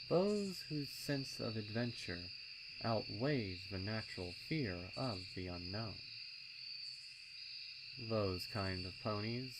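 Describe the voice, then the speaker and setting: A man with a lowish voice narrates a dark tale slowly and ominously, varying his tone for drama. Loud cricket and insect ambience runs behind him. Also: heavy background noise. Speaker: story narrator. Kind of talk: dramatic horror story narration.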